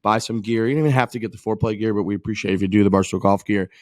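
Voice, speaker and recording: A man with a fairly low voice hosts a golf podcast, recorded dry and close. He talks loosely and chattily, piling up repeats as he runs through an ad read. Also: steady tone, very fast, no room sound. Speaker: podcast host. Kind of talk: casual chat and ad read.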